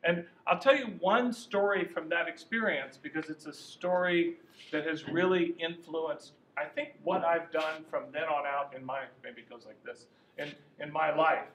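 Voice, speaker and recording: A man in his sixties speaking in a fairly high voice as he gives a conversational lecture. He is picked up from across an echoey classroom, with a faint hiss behind him. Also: moderate pace, fairly bright tone, distant mic. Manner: conversational, gestures while talking, some restarts. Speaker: guest speaker, lobbyist. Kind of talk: informal lecture to an audience. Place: university classroom. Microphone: far camera, no mic.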